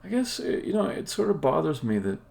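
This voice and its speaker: A man who is a game developer, talking casually and haltingly as he thinks aloud during a live coding stream. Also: a little high, wildly swooping pitch, vocal fry, a little mellow.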